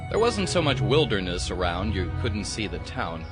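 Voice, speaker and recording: A man's voice: on the low side, very animated, normal speed. A man giving dramatic, scripted storybook narration in an audio drama. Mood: evocative. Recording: music behind the voice.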